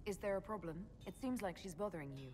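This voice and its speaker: A calm leader heroine, played by a woman in a fantasy video game. She speaks plainly and candidly at a middling pitch, with faint music behind. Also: fast, close to an everyday voice. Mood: calm, questioning. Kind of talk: scripted video game dialogue.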